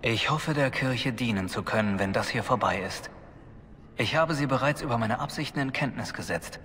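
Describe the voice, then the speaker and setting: A man's voice: medium pitch, normal speed, bright, forceful tone, slight background noise, lightly acted. A man voicing a humbled, resolute former soldier in a video game, speaking formally and sincerely. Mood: sincere, grave. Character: earnest male ex-soldier and poacher, humbled, resolute. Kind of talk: dramatic video game voice acting. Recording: long reverb, music behind.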